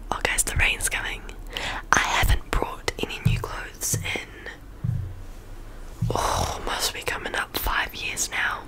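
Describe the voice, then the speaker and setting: Young New Zealand woman whispering ASMR. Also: poor audio. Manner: rambling, chatty whisper with many ums. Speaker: ASMR creator. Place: small bedroom, indoors. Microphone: studio mic on stand, close up.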